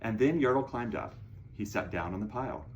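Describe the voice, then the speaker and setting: A man reading a rhyming children's story aloud in an expressive storytelling style. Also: fairly low pitch, a little fast, dull audio, a little hiss or hum.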